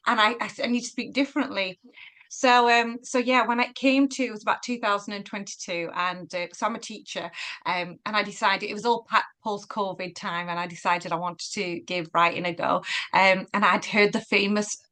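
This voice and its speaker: Bright-voiced woman author. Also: medium pitch, fairly even intonation, moderate pace. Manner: chatty, laughs often, many fillers. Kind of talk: casual virtual book launch chat.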